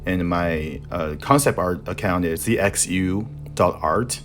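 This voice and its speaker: Young guy w chinese accent, low deep voice, kinda halting, explaining his tattoo design, faint music behind. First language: Mandarin Chinese. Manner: halting, searching for words in his second language. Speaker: tattoo artist and art instructor. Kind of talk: voice-over explaining a design process.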